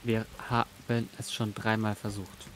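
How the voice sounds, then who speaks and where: A man's voice: fairly low pitch, little pitch movement, measured pace. A German man playing co-op over a voice call, making dry, teasing remarks. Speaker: co-op teammate. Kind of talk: casual gameplay chat.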